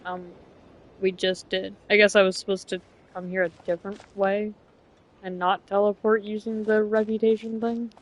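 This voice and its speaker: A woman's voice: medium pitch, level tone, normal speed, muffled recording. A woman streaming a game, giving casual, blunt commentary as if muttering to herself. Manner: offhand, blunt, muttering to self. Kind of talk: casual live gameplay commentary.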